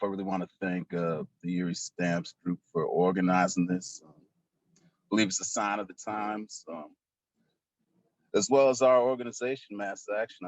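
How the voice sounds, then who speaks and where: Deep voiced guy organizer on a zoom panel, dry muffled sound, lots of ums. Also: dry recording. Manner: casual, halting with many ums. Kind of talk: online panel discussion. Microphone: video call.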